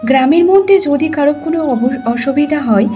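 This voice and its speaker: A woman's voice: high-pitched, animated, normal speed, dark tone, faint music behind. A woman narrating a scripted cartoon folk tale as the storyteller.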